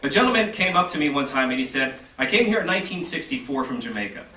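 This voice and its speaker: A man's voice: medium pitch, sing-song, fast talker, pushed and bright. A man on a press conference panel, putting pointed questions to reporters. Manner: questioning, argumentative. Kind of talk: addressing reporters. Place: press club conference room. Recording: echoey room, distant mic, faint noise.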